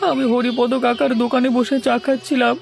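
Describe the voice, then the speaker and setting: A man's voice: very high voice, level tone, fairly quick, gravelly. Distraught male cartoon servant, played by a man, wailing and begging, over music. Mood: tearful, pleading. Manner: wailing, pleading. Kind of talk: cartoon dialogue. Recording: music behind the voice.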